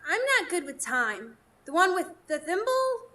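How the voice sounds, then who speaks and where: A woman's voice: very high-pitched, big pitch swings, unhurried, sharp tone, full character voice. A woman playing a flighty, ageless fairy in a radio drama, teasing and childish in manner. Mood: scatterbrained, cheeky. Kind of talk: live radio drama acting. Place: performance space in front of a live audience.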